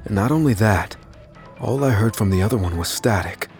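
An actor playing a man recounting a tense showdown with a killer, in measured, suspenseful first-person narration. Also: lowish voice, lively intonation, a little mellow, soft background music, lightly acted. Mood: tense, uneasy. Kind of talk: scripted dramatic audio fiction narration.

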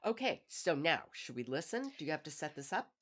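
A woman's voice: low-pitched, sing-song, fairly quick. A woman hosting a podcast in a conversational book-review style. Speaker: podcast host.

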